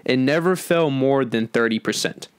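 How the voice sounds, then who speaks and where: A man co-hosting an entertainment podcast, talking casually through box-office figures with halting restarts. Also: medium pitch, clear, resonant tone, no echo.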